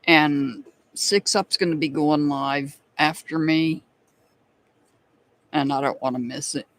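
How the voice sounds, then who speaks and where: A man with a noticeably high voice and a slight Southern accent talks casually to a live stream audience as he hosts tarot readings. He chats loosely, laughs easily and greets viewers one by one by name. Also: steady tone, takes it slowly, a bit bright. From United States. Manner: chatty, laughs often, answers viewers by name.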